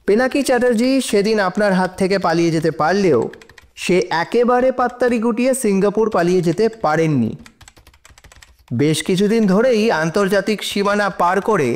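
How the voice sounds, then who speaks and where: Earnest officer turned court advocate: high male voice, formal persuasive oratory, audio drama. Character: earnest, assured male police officer pleading as a lawyer in court.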